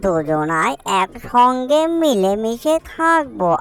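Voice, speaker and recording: Mischievous little cartoon ghost played by a woman: teasing, greedy, high-pitched, with big melodic swings. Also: sing-song, slow, dry recording, full character voice. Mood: friendly. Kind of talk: cartoon character acting.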